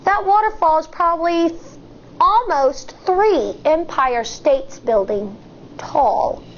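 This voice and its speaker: A woman, an elementary school teacher, reading a nonfiction picture book aloud to young children in a deliberate, explanatory way. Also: extremely high pitch, lively intonation, slight background noise. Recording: dull, muffled lo-fi sound.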